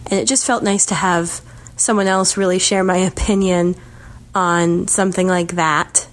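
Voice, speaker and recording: A woman's voice: on the low side, fairly even intonation, normal speed. A woman giving a reflective, plainspoken personal recollection as a listener of a show. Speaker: podcast listener leaving a recorded message.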